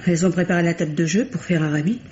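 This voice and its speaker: A woman's voice: fairly low pitch, rapid-fire, a little mellow, natural voice. A nervous female household maid played by a radio actress speaks in a hesitant, deferential, colloquial way. It is old French mystery radio drama. The recording is dull and lightly noisy. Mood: guarded, deferential. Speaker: radio actor.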